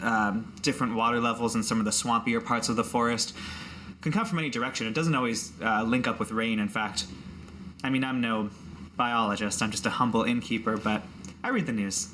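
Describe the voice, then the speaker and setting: A woman's voice: a bass voice, steady tone, a little fast, fairly bright tone. A woman voicing a blunt, plainspoken female innkeeper who is kindly but thrifty, in a tabletop game. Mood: curious, matter-of-fact.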